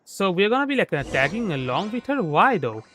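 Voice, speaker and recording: A man's voice: sits high, big pitch swings, brisk, fairly bright tone, a little hiss or hum. A man from India giving casual, off-the-cuff commentary while streaming a video game. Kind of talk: casual live gameplay commentary.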